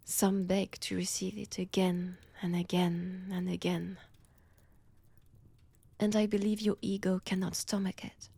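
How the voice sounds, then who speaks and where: A woman's voice: mid-range voice, mellow, no echo, heavily acted. A woman with a French accent voicing a seductive, menacing female vampire in an intimate ASMR roleplay, whispery and teasing, close to the microphone. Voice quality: breathy, whispery. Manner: slow, teasing, deliberate delivery. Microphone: close, dry microphone.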